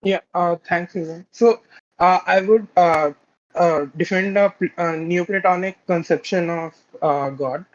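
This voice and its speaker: A man's voice: high voice, measured pace. A man calling in to a debate show, talking hesitantly with many fillers and restarts. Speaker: caller. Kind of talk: casual debate call. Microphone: video call. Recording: quiet, dull lo-fi call mic.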